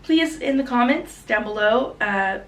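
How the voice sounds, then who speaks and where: A woman's voice: on the high side, expressive, unhurried. A woman recovering from a cold, chatting enthusiastically as a book vlogger about her recent book haul. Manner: chatty, enthusiastic, self-correcting. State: getting over a cold. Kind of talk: casual book haul chat. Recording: slightly distant mic, faint background noise.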